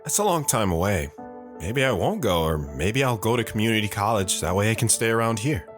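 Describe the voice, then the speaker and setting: A man's voice: lowish voice, big pitch swings, a little fast, over music. An actor playing an awkward, apologetic teenage boy. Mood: unsure. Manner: apologetic, unsure.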